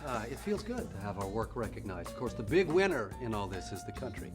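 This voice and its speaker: Film role: grateful middle-aged male team leader, halting speech-giver, animated intonation, clear music behind. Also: lowish voice. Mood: grateful, proud. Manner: halting, effusive. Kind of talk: informal award acceptance speech, film acting.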